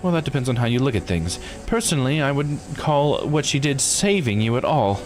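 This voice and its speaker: Man playing a composed, blunt law officer, animated delivery. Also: mid-range voice, soft background music, close to an everyday voice. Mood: blunt, grave. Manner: measured, formal, matter-of-fact explaining.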